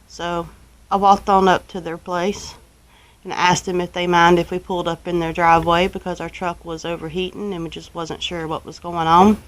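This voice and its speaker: A woman with a Southern US accent telling a story casually, in a folksy, unhurried, colloquial way, as a homestead vlogger. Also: lowish voice, little pitch movement, poor audio. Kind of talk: casual first-person storytelling.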